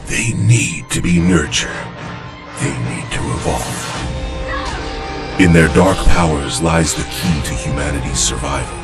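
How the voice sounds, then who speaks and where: A man narrating a video game intro as a grave, theatrical teller of an apocalyptic horror tale, with music behind him. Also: rumbling low. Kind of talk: dramatic scripted narration.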